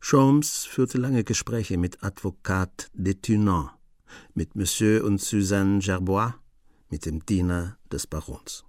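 A man's voice: deep voice, takes it slowly, a little mellow, dry recording. A man narrating a crime comedy radio play as a composed storyteller with dry, understated wit. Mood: composed, wry.

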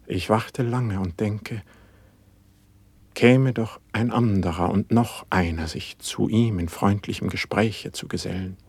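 Rapt, humble young poet for verse radio drama; low-pitched, lofty, declaiming with great deliberation. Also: male voice, a little slow, a little mellow, husky, no room sound. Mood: rapt, yearning. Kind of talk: classical verse radio-play acting.

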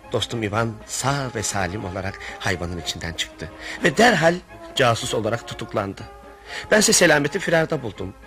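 A man's voice: mid-range voice, a bit bright. A man playing a wry male narrator recounting his friend's misadventure in a radio play, speaking formally. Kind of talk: radio theatre storytelling.

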